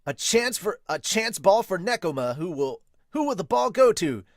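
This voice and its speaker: A loud, excitable young volleyball player from a manga dub, acted out by a man. The voice is pushed up high, urgent and full of exclamation. The sound is dry and without room echo. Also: moderate pace. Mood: excited, shouting.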